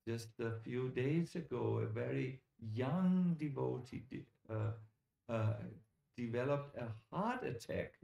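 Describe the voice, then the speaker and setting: A man giving a sermon as a religious teacher, telling scripture stories deliberately, with dramatic pauses and direct appeals to his listeners. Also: mid-range voice, animated, creaky, dark tone, muffled recording. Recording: echoey lecture hall sound.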